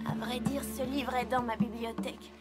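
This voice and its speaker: A woman's voice: a little high, little pitch movement, normal speed, close to an everyday voice. An actress voicing an earnest, bookish young female unicorn in a French cartoon dub, speaking articulately and expressively. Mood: apologetic. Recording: loud music behind the voice.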